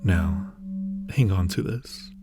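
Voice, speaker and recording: A man playing a friendly, curious being from another universe in an ASMR roleplay, speaking in a gentle, reassuring, musing way. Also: sits low, slow. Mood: hushed, hypnotic. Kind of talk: ASMR roleplay addressed to the listener.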